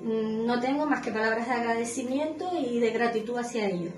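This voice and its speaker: A woman in her thirties, a former student, giving a steady, earnest testimonial. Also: fairly high pitch, a little fast, far from the mic. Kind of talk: prepared testimonial. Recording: echoey room, music behind.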